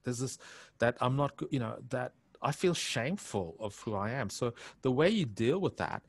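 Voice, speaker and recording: A man's voice: mid-range voice, lively intonation, normal speed, dry recording. A man in his fifties from India with an Australian accent, telling his life story candidly over a headset on a video call from his home study, reflective and full of restarts. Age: 50s. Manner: reflective, frequent restarts and filler phrases. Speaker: interview guest.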